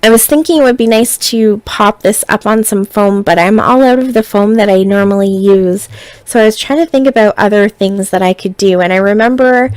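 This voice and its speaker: A woman narrating a scrapbooking tutorial in a relaxed, chatty way, sounding a little stuffy as she gets over being sick. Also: medium pitch, brisk, clear, resonant tone, dry recording, poor audio. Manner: relaxed, chatty step-by-step explaining. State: stuffy, recovering from illness. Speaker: scrapbooking designer.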